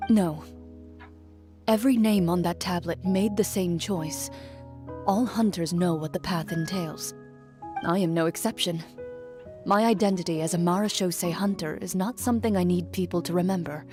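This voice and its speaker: A woman playing a composed, stoic female champion duelist in a video game, speaking in a calm, formal, measured way. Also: fairly low pitch, faint music behind, close to an everyday voice. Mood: firm, solemn.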